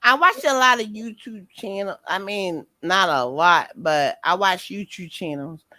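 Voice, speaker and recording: Female panel guest, forceful bright voice with big pitch swings, slangy and dramatic in casual chat. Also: animated, unhurried, no room sound.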